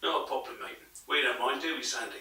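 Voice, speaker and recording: A man's voice: mid-range voice, fast talker, bright, forceful tone. A British man playing a matey but defensive pub landlord, informal and guarded, in an audio drama. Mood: easygoing. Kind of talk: audio drama interview acting. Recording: very echoey room, distant mic.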